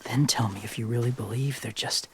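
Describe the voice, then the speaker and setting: An actor playing a gentle, solemn young survivor in a video game drama, soft-spoken, hushed and earnest. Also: male voice, mid-range voice, steady tone, fairly quick, slight background noise, natural voice. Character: gentle, solemn young male survivor living among walkers.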